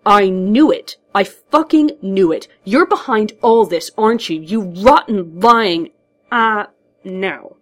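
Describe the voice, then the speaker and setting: A woman voicing a gruff, exhausted male vigilante in a narrated story, terse, urgent and commanding. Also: lowish voice, moderate pace, natural voice. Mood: furious.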